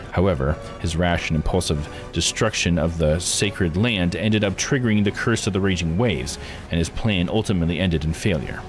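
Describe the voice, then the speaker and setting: Male game streamer: low voice, casual read-aloud narration and chat, faint game music behind. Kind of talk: visual novel playthrough, narration and casual chat.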